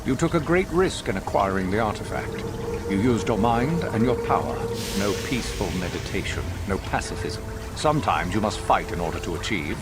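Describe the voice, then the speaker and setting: A man as video game villain mentor, low animated voice, pompous, measured, stern and scheming, music behind. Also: close to an everyday voice. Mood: solemn, commanding. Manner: formal, measured, pompous, lecturing delivery. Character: stern, scheming male Sith master, mature and imperious.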